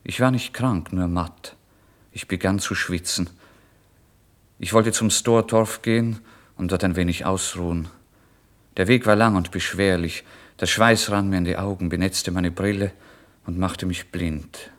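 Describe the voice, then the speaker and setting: An intense, low-voiced feverish narrator. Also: male voice, steady tone, measured pace. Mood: weary, dazed. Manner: theatrical, intense, swinging from hushed to loud outbursts. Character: starving, feverish, proud and volatile man recounting his torment. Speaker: first-person narrator. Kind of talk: dramatic literary monologue for radio.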